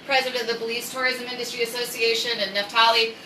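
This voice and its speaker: American lady chatting on a story time livestream, really bright higher voice, rambly and jokey, bit far from the mic. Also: flat delivery, fast talker. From United States. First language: English. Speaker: host of a live story-time stream. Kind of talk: casual chatty livestream with reading aloud. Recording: roomy echo, distant mic, faint noise.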